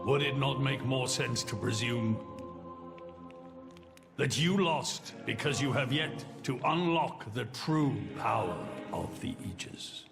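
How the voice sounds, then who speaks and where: An actor playing a solemn, regal monarch in a video game, speaking formally and gravely with weighty pauses. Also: male voice, medium pitch, expressive. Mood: earnest, measured. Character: solemn, regal male monarch burdened by his judgment. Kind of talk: dramatic video game cutscene acting. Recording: loud music behind the voice.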